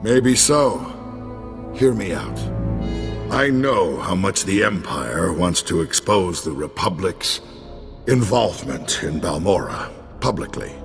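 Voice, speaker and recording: A defeated but proud military commander in a video game. He speaks slowly and gravely, with wide swings of pitch. The sound has a long reverb and some music. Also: lively intonation, exaggerated voice. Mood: grave, pleading. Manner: slow, grave, pleading. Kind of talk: dramatic video game dialogue.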